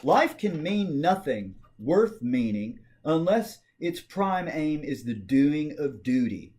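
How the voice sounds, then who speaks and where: A man with a voice pitched a little above average performs a historical political speech. He declaims it formally, with dramatic emphasis and carefully measured phrasing. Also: darkish tone. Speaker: amateur reader of a historical speech.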